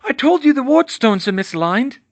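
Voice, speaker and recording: A man's voice: very high-pitched, very animated, a little fast, no room sound, voice pushed up. A man voicing a clever young woman who talks in a choked, pleading way. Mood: strained.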